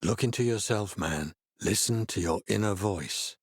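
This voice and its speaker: An actor playing a laid-back, earnest middle-aged hippie healer in a video game, explaining things in an easygoing way. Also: male voice, fairly low pitch, normal speed, slightly rough, dry recording, clean studio sound. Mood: calm, welcoming. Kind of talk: video game character dialogue.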